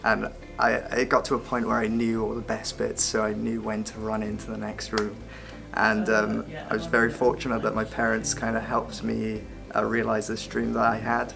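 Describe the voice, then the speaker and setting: Gravelly young man, flat delivery. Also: on the low side, moderate pace, few pauses. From Wales. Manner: hesitant, repeats words. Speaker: film apprentice. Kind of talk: interview answers. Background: background music.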